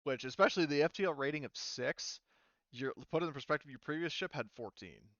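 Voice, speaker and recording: A man running a tabletop game, explaining rules in a casual, halting, hedging way. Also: a little high, fairly bright tone, dry recording, dull audio. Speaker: game master.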